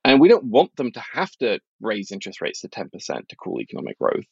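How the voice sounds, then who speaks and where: A man's voice: on the low side, wildly swooping pitch, quick pace, gravelly, breathy edge, no room sound, muffled recording. A middle-aged man from Norway talking casually and analytically as an interview guest, blunt and opinionated in an informal way. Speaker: financial journalist and author, interview guest.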